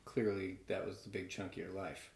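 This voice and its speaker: A man hosting a casual interview podcast, relaxed and joking as he pushes his guest for deeper answers. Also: moderate pace, gravelly, reverberant, slight background noise. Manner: relaxed, joking, probing questions. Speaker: podcast host and bar owner.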